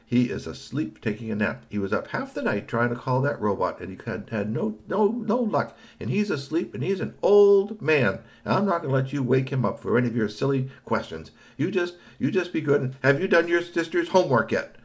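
A man's voice: mid-range voice, sing-song, brisk, hardly any pauses, pitched above the performer's own voice, close to an everyday voice. A man voicing a stern mother who scolds her son firmly. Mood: scolding. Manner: scolding, firm.

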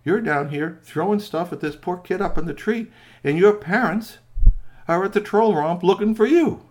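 A man voicing a huge, stern male troll who scolds slowly and firmly. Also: on the high side, huge pitch swings, a little mellow, pitched above the performer's own voice.

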